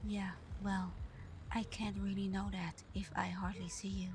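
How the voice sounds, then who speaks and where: Casting: actress as tender, wounded female vampire lover for ASMR roleplay; soft half-whisper, unhurried hesitant delivery, faint noise behind. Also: medium pitch, flat delivery, close to an everyday voice. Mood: worried, hurt. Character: caring, hurt vampire girlfriend. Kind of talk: ASMR roleplay, intimate scripted acting.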